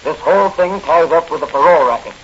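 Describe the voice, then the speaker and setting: Guy doing an assured vigilante hero, slightly high voice, authoritative, old radio serial, muffled sound. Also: fast talker, natural voice. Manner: crisp, authoritative instructions. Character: assured, take-charge masked male crime fighter. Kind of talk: 1940s radio acting. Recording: muffled, faintly hissy old radio recording.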